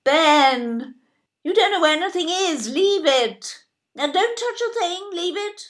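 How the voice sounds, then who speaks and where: An actress playing a fussing, incredulous older British wife in an audio play, fretful and full of exclamation. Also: female voice, extremely high pitch, animated, a little slow, fairly bright tone, exaggerated voice. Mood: alarmed. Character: fussing, indignant older wife, doubting her husband.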